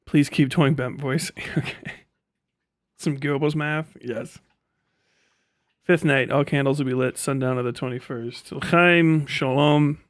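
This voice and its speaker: A man's voice: medium pitch, fairly even intonation, measured pace, dry recording, studio quality. A man chatting casually as a live streamer, rambling and sardonic, reading things aloud and swearing offhandedly.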